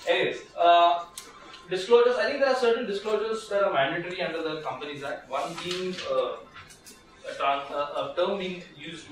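A man with an Indian English accent runs a small legal workshop, talking quickly in a slightly high voice. He keeps restarting his sentences and reaching for fillers. He is picked up from far off in a very echoey room with steady background noise. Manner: conversational, lots of restarts and fillers, gestures while standing. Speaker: workshop speaker, lawyer. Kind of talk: informal legal workshop talk. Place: small seminar room with projector. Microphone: far camera, no mic.

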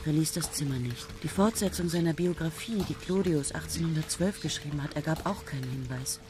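An actress in a radio play playing a young woman student who speaks softly and dreamily. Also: deep voice, a little fast, natural voice. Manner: soft and hushed, dreamy. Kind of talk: radio play acting and narration.

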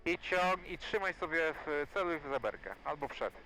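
A man working as a flight instructor, coaching calmly in an encouraging, repetitive way inside a small aircraft. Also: on the high side, moderate pace, low-quality recording. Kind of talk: hands-on coaching during flight. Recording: music behind, aircraft cabin audio.

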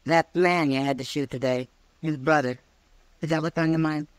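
An American actress playing a worldly, caring frontier woman in an old-time radio western, familiar and gently concerned in manner. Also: low voice, fairly even intonation, normal speed, no room sound, lightly acted.

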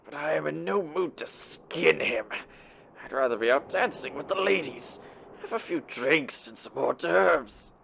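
A man's voice: fairly high pitch, lively intonation, unhurried, gravelly, a bit bright, slight background noise, exaggerated voice. An actor playing a proud, theatrical male demon with formal, elaborate diction and an old radio filter in a comic dub. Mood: miserable, irritated. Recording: muffled band-limited sound, music behind. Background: music.

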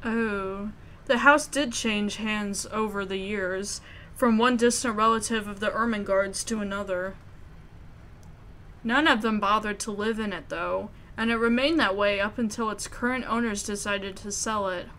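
Expressive woman reading a game aloud. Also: medium pitch, unhurried, a little hiss or hum. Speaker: gamer reading a visual novel aloud. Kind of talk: narration with casual commentary.

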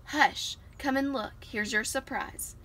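Woman as a bright-voiced, gentle mother bear. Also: high voice, takes it slowly, slight background noise, pitched above the performer's own voice. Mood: soothing.

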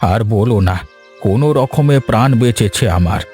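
A man's voice: on the low side, animated, normal speed, mellow, lightly acted. A man voicing a frightened, earnest elderly villager in a cartoon, telling things in a plaintive, insistent way. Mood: shaken, plaintive. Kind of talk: Bengali cartoon voice acting. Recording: background music bed.

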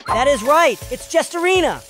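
A woman's voice: sits high, expressive, normal speed, fairly bright tone, soft background music. A woman reading scripted quiz narration in an upbeat announcer manner.

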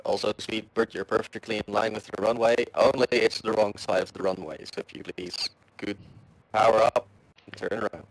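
Low-pitched man on game chat. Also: steady tone, moderate pace, poor audio. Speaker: player on the frequency. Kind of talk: casual voice chat in an online game.

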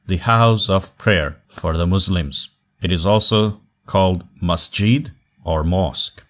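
A man with a very low voice teaches a recorded online class. He speaks in short, measured phrases and stresses key words with pauses. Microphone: voice-over on slides.

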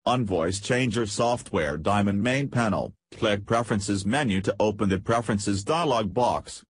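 Husky, low-voiced man narrating a tutorial. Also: moderate pace, no room sound. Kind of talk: scripted software tutorial narration.

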